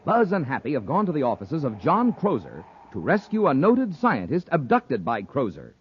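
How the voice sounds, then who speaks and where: A man's voice: mid-range voice, huge pitch swings, moderate pace. A man announcing in a scripted 1950s radio style, with emphatic, dramatic salesmanship. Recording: noisy, muffled 1950s radio recording.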